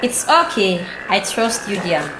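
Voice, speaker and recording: A woman's voice: mid-range voice, big pitch swings. A Sierra Leonean woman playing a proud, respectful chief's wife in an audio drama, speaking formally. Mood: trusting, uneasy. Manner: formal, measured wording. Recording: echoey room with clear background noise.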